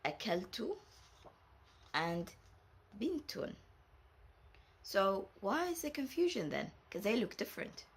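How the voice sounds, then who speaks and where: A woman whose first language is Arabic, from Saudi Arabia, teaching a patient Arabic lesson and repeating each example word slowly. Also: medium pitch, very animated, slightly rough.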